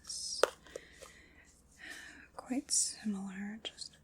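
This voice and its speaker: A woman voicing a calm, teasing female armorer who measures a customer for armor, speaking in soft, mostly unvoiced ASMR whispers with gentle precision and dry jokes. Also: mid-range voice, very slow. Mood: hushed, attentive. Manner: gentle, precise and wryly joking. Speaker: ASMR roleplay performer.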